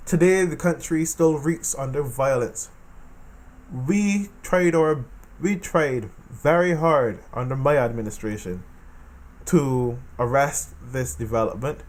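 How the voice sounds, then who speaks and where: A man from the Caribbean talking casually about politics in an animated monologue, repeating phrases for emphasis. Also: fairly high pitch. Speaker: political commentator.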